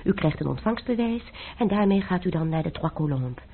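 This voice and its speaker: A secretive French mademoiselle. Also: female voice, on the low side, expressive, a little fast, slightly rough. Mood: urgent. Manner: urgent, gives precise orders. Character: secretive young French lady, commanding. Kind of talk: radio drama acting. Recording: old tinny lo-fi radio recording.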